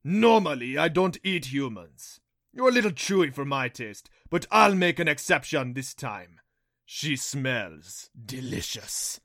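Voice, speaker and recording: A man voicing a cruel fanged beast in a fantasy audiobook, sneering and taunting with theatrical, drawn-out mock sorrow. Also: medium pitch, big pitch swings, fairly bright tone, no echo, clean studio sound, exaggerated voice. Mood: gloating menace. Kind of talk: audiobook character voice for a fantasy story.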